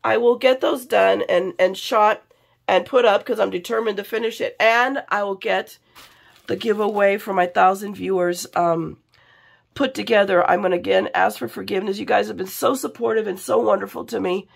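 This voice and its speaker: A middle-aged American woman, sick and low on energy, chatting casually and rambling in a confiding way for a craft vlog. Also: fairly even intonation, normal speed, creaky. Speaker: craft vlogger. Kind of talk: casual chat in an unboxing vlog. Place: indoors at a craft table.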